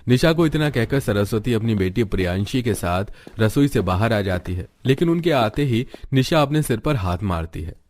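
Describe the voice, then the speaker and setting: A man's voice: fairly low pitch, animated, normal speed, hardly any pauses, a little mellow. A man reading scripted narration for a family cartoon story.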